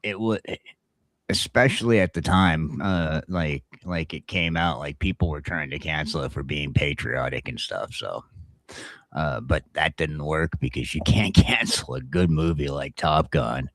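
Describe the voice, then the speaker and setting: Deep-voiced man in casual talk, dry sound. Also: measured pace, a bit bright, dry recording. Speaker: talk show co-host. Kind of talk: casual chat.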